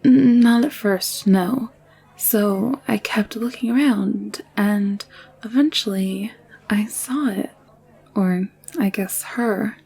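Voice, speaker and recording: A woman playing an anxious, secretive young alien nurse in an intimate audio drama, confiding in a hushed, hesitant way. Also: mid-range voice, slow pace, gravelly, slightly rough, full character voice. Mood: confiding, insistent. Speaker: voice actor in audio roleplay.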